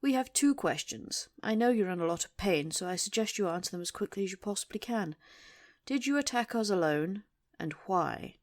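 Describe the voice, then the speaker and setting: A woman's voice: medium pitch, lively intonation, moderate pace, lightly acted. A woman playing a coldly menacing, imperious boss in an audio drama, formal and precise in her speech. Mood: formal, commanding. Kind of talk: audio roleplay acting.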